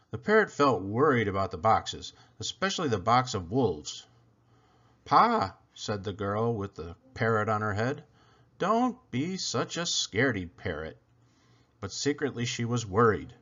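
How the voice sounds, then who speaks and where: A man reads a picture book aloud as a storyteller. His voice swings widely in pitch and his delivery is deliberate and expressive. The recording is dull and lo-fi. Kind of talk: children's picture book narration.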